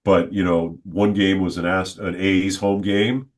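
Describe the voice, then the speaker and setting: A middle-aged man, a stadium announcer, telling stories in a relaxed, good-humored interview. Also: deep voice, unhurried. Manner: good-humored, reflective, talks at length. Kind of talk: casual storytelling in an interview.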